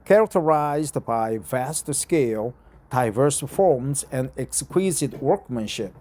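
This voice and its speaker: A man's voice: mid-range voice, animated, takes it slowly, darkish tone. A man from Korea speaking English with a Korean accent, chatting and then carefully reading an article aloud outdoors, with frequent hesitations. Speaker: vlogger. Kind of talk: casual talk and reading aloud. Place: outdoor courtyard bench in front of a church.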